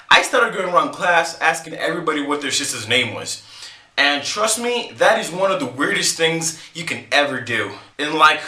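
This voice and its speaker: A man vlogger telling a comic story to camera in an animated, joking way, laughing between lines. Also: fairly high pitch, reverberant.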